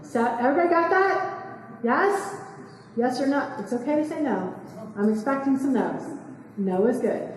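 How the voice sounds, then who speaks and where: A woman, giving a quick, chatty seminar talk and asking the room questions. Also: high-pitched, lively intonation, heavy reverb, in a large hall, far from the mic, poor audio. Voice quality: soft voice. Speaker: real estate investing speaker. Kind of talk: live seminar talk to a small audience. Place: meeting room, indoors. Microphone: far camera.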